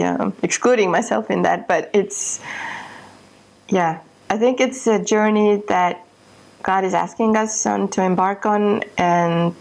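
A woman's voice: mid-range voice, gravelly, darkish tone. A middle-aged woman from Estonia, a missionary guest speaking earnestly and thoughtfully in a podcast interview, often restarting her sentences as she searches for words.

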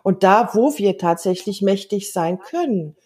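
A German-speaking woman in her fifties talks things through in relaxed conversation, earnest and reflective, with frequent pauses and fillers. Her voice is mellow and warm in tone, with a slightly husky edge. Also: medium pitch. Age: 50s. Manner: earnest, thoughtful, hesitant with fillers. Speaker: guest. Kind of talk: casual conversation.

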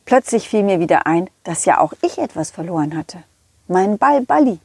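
A woman plays a friendly dog narrating a children's story. The telling is eager and very animated, with words repeated for emphasis, in a dry, close recording. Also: on the low side, normal speed, creaky, breathy edge, no echo, exaggerated voice. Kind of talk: first-person children's storytelling.

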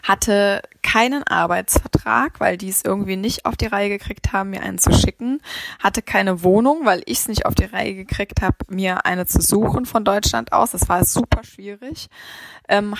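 A woman's voice: mid-range voice, normal speed, gravelly, dry recording. A young woman from Saarland casually telling her own story with lots of fillers. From Saarland, Germany. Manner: chatty, many fillers, lively retelling.

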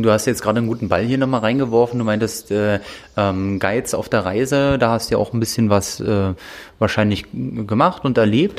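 A man hosting a podcast, asking friendly questions in a halting way with many fillers. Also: mid-range voice, darkish tone. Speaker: podcast host. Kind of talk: casual podcast interviewing.

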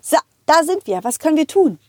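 Lady doing a cheery lil boy doggy for a kids audio play, high n bouncy, super eager. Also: fairly quick, no echo. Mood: excited, eager. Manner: excitable, addresses children directly. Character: cheerful, eager little male dog telling his adventure.

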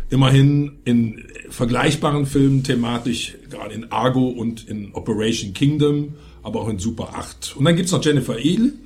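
A man's voice: medium pitch, a little mellow. A man hosting a film review show, talking conversationally with opinionated asides. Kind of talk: casual film review commentary.

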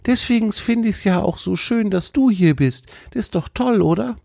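High, gentle young deer. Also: male voice, normal speed, vocal fry, dry recording, studio quality. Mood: eager, impressed. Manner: earnest, wide-eyed, friendly. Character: young male deer, gentle, curious, eager, friendly. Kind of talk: children's storybook character voice.

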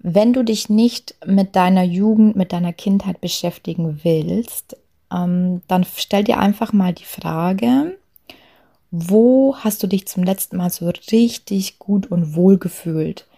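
Gravelly-voiced Bavarian woman coaching. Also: mid-range voice, slow. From Lower Bavaria, Germany. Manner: chatty, frequent fillers, soft laughs. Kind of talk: talk on authenticity at work.

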